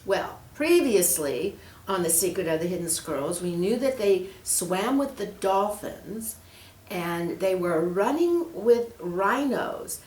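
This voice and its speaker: A woman reading a children's story aloud in an expressive, animated storytelling style, addressing young listeners directly. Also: medium pitch, takes it slowly. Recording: roomy, echoing room.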